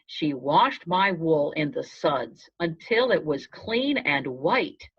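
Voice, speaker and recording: A woman narrating a children's storybook aloud in the first person, in a measured storytelling manner. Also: sits low, lively intonation, husky. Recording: dull, lo-fi sound.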